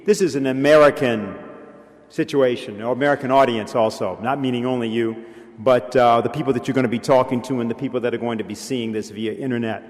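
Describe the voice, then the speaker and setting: A man's voice: medium pitch, steady tone, fairly quick. A man speaking informally to a public meeting as he introduces and addresses the room. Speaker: event speaker introducing a reader. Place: large hall before an audience. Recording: live hall with long reverb.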